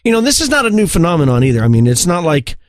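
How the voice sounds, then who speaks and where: A man with a somewhat high voice co-hosts a podcast in a casual, conversational style. He tells stories loosely, as if talking to a friend. The recording is close and dry, with no room sound. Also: very animated, very fast, no echo. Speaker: podcast co-host. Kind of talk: casual chat, storytelling.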